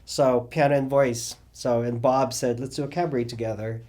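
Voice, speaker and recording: Middle-aged man, animated storyteller, lots of fillers, mid-range voice. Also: medium pitch, moderate pace. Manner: rambling, animated storytelling with many fillers and restarts. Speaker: interviewee, cabaret singer and drag performer. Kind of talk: oral history interview.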